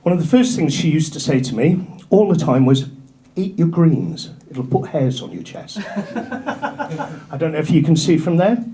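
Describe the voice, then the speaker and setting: Deadpan English stand-up man, lively pitch. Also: measured pace. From North Yorkshire, England. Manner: dry deadpan delivery, punchlines dropped low and quiet. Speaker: stand-up comedian. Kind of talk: stand-up routine. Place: comedy club. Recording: live club with loud crowd laughter. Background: audience laughter.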